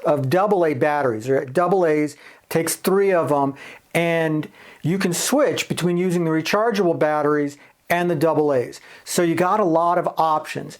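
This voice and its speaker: A reviewer giving a casual, plain-spoken, conversational product review to camera. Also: medium pitch.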